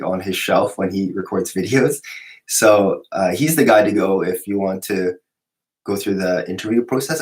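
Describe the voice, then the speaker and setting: A man with a cold hosting a casual live-stream Q&A, reading out viewer questions and answering in a relaxed, rambling way with lots of fillers and restarts. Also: fairly low pitch, poor audio. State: sick, voice lower than usual.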